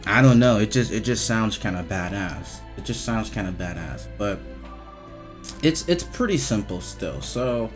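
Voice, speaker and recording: A man's voice: lowish voice, lively intonation, measured pace, faint music behind. A young man giving casual, rambling game commentary, hesitant and repetitive, with informal swearing. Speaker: video game reviewer.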